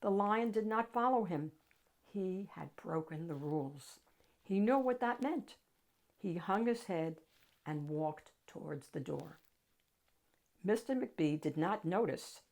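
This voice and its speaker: A woman narrating a children's picture book aloud with dramatic, expressive storytelling. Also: on the low side, slow.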